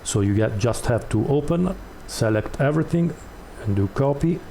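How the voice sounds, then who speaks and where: A man hosting a step-by-step tutorial, explaining off the cuff in a halting way and repeating words as he goes. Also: medium pitch, expressive, vocal fry, warm tone, slight background noise. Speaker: tutorial host.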